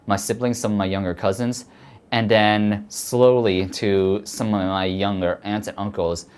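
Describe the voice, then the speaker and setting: Low-voiced woman in her twenties, hesitant. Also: fairly even intonation, a little slow, darkish tone, smooth. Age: 20s. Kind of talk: interview testimony.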